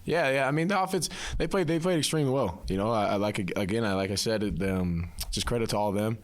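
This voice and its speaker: A man's voice: on the low side, fast. A young man, a college football player, answering casually in a post-practice interview, repeating himself with many "you knows". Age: young adult.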